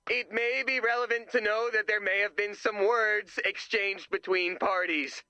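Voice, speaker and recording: An actor playing a nervous, tactless young male camper who babbles anxiously, in an animated video game style. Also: high-pitched, normal speed, no echo, highs cut off.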